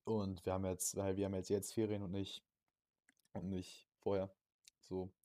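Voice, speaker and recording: A young man with a fairly low, relaxed voice co-hosts a casual podcast. He talks in informal slang and often pauses to find his words. The sound is dry, with very little room in it. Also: no echo. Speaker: podcast co-host. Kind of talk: casual chat.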